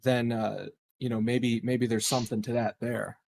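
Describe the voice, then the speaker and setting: A man's voice: mid-range voice, level tone, normal speed, creaky, a little mellow, slight background noise. A man chatting casually as a player in a tabletop game, speculating and arguing his points.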